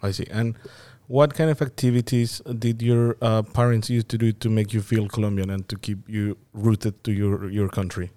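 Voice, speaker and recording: A man's voice: on the low side, level tone, normal speed, hardly any pauses, dark tone. A man hosting a podcast interview, asking questions and recapping the guest's answers. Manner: asks follow-ups, recaps answers. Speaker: podcast host.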